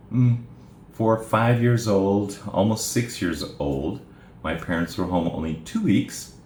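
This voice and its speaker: A middle-aged man from Japan, a native Japanese speaker, giving an informal spoken presentation in a measured, plain way with frequent "uh" pauses. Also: deep voice, darkish tone. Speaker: presenter telling his life story. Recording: roomy echo, faint background hiss.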